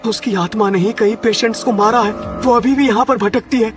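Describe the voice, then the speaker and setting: A man's voice: high-pitched, fairly even intonation, moderate pace, voice pushed up, close to an everyday voice. A man voicing an anxious, superstitious, pleading hospital ward boy in a cartoon horror story, with music behind. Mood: fearful, ominous. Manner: stammering, pleading. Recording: music under the voice.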